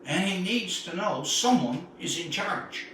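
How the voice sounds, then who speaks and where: A man reading the part of a stern, cautious father giving firm, measured instructions to his young son in a storybook. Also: high-pitched, expressive, fairly bright tone. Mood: stern, reassuring. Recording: echoey room, distant mic, faint noise.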